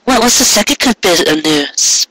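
Sweet affectionate mare, very high, small hushed voice, storybook pony wife, played by a male reader. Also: animated, moderate pace, dry recording, full character voice. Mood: tender. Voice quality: little, breathy, hushed voice.

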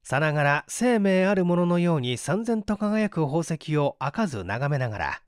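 A man reading a story aloud as an expressive, scripted literary narration. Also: a little high, moderate pace, clear, resonant tone, no room sound, studio quality. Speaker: audiobook narrator, stage actor.

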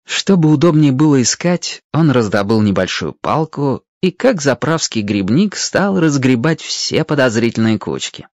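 Animated male storyteller, dry recording. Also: medium pitch, normal speed, hardly any pauses, no echo, lo-fi sound. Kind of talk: expressive scripted children's story narration.

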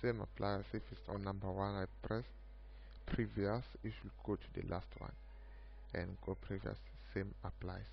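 A man giving an unscripted screen-recorded software demo of his own project, speaking haltingly in short broken phrases. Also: sits low, little pitch movement, gravelly. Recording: muffled lo-fi mic with background hum.

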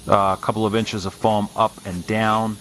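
Low-voiced man explaining spray foam work. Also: flat delivery, moderate pace, a bit bright, slight background noise. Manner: plain-spoken, practical, frequent "uh" fillers. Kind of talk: voice-over explaining a job.

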